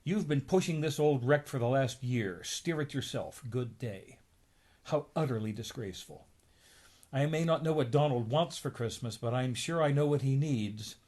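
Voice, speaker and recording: A man's voice: medium pitch, moderate pace, darkish tone, lightly acted. A man voicing a proud, scornful female duck in a comic story, haughty and scolding. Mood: disgusted.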